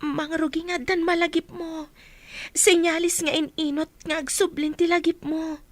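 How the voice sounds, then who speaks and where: A woman's voice: very high voice, level tone, moderate pace, no room sound. A woman with an Ilocano accent playing an inquisitive, concerned young villager in a radio drama. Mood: concerned, questioning.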